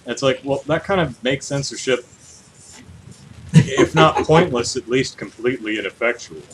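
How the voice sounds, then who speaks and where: A man's voice: medium pitch, moderate pace, poor audio. Casual man over background noise. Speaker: podcast co-host. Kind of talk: casual discussion of video game news.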